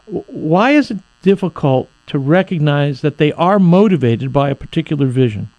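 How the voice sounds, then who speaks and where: A man's voice: on the high side, expressive, normal speed, a little mellow, no echo. A man hosting a radio talk show, asking earnest, exasperated questions with frequent restarts. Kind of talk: radio interview.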